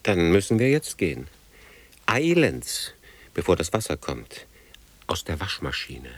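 A man's voice: low-pitched, wildly swooping pitch, unhurried, no room sound, exaggerated voice. An actor in a German radio drama playing an anxious man who presses in clipped, urgent commands. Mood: restless. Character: anxious, urgent man hurrying his beloved to flee.

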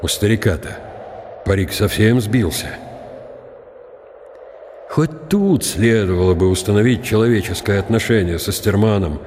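A man's voice: lowish voice, expressive, measured pace, pauses often, a little mellow. An actor in a radio play voicing the inner thoughts of a proud condemned man who hides fear behind contempt. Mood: uneasy, sardonic. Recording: echoing hall reverb, clear hiss.

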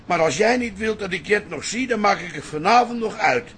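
A man's voice: high voice, expressive, a little fast, a bit bright, slight background noise. An actor playing an elderly widowed father in a Dutch radio play, tender and easily riled. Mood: indignant. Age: older.

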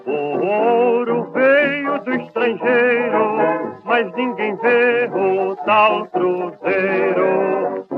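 Brief: high-voiced Brazilian male singer, slow old-time song, thin lo-fi recording with full music. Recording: tinny narrow-band old song recording. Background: musical instruments, brass.

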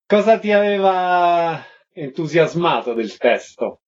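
Higher-pitched male radio interviewer. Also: lively intonation, slow, darkish tone, a little hiss or hum. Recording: slightly dull radio sound.

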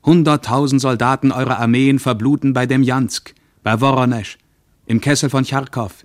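An actor voicing a menacing, guttural wartime radio propaganda announcer in a radio play. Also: male voice, medium pitch, steady tone, normal speed, no echo, studio quality, voice pushed up, lightly acted. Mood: menacing, slow. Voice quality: guttural, rough.

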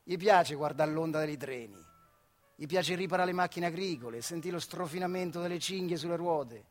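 A man's voice: on the high side, normal speed, soft background music. A male actor with a central Italian accent from the Marche, telling a theatrical monologue in colloquial, emphatic style and speaking straight to the listener. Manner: colloquial, addresses listener directly, emphatic repetitions. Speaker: stage actor and storyteller. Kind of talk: theatrical dialect monologue storytelling.

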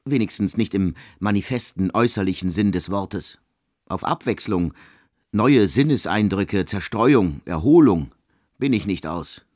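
An actor playing an aloof, cerebral composer who speaks in formal, mannered declarations in a literary radio drama. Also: male voice, fairly low pitch, animated, unhurried, darkish tone, dry recording, studio quality. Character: aloof, cerebral male composer with fixed opinions.

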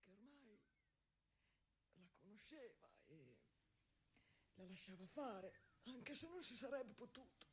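A woman playing a gentle, wistful husband confined in an asylum, in an intimate stage monologue with halting, simple, repetitive, emotive phrasing. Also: medium pitch, sing-song, slight background noise. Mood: tender, aching.

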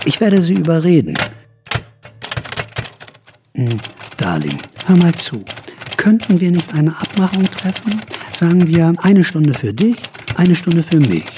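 An actor playing a stubborn, exasperated aging writer in a radio drama, theatrical, swinging between pleading and indignation. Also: male voice, fairly high pitch, sing-song, unhurried, long pauses, background noise. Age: older. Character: aging male writer battling a willful typewriter, stubborn, exasperated.

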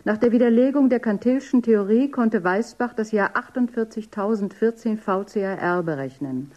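A woman playing a composed, dreamy character in a dystopian radio drama, measured and articulate. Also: a little high, darkish tone, lo-fi sound, close to an everyday voice. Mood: factual, reciting. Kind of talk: German radio drama acting.

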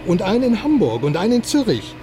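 An actor playing an excitable, talkative informant who chats eagerly during a car ride. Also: male voice, high-pitched, fast talker. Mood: explaining. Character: excitable male informant, chatty car fan. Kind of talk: radio drama acting. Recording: music playing behind.

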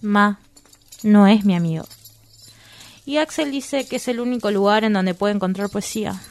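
A woman's voice: medium pitch, moderate pace, lightly acted. A woman with an Argentine accent playing a coy, earnest young woman in love in a radio drama. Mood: earnest, storytelling.

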